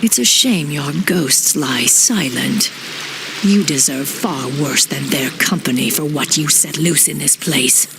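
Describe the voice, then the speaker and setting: A woman's voice: low voice, measured pace, gravelly, background noise. A woman voicing a stern night elf warden in a fantasy game, a relentless hunter who speaks formally and with severe command. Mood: severe, resolute. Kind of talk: fantasy game character acting.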